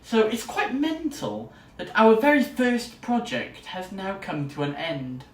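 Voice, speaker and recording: A man's voice: high voice, big pitch swings, a little slow. A young man, a student vlogger, chatting casually in an enthusiastic, rambling way. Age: young adult. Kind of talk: casual vlog to camera. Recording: roomy echo, distant mic, faint noise.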